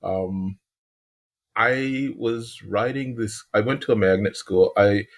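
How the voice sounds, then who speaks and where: A man in his sixties from Chicago with a Chicago accent, a podcast guest telling his story in an unhurried way and often pausing to find words. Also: lowish voice. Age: 60s. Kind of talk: relaxed interview storytelling.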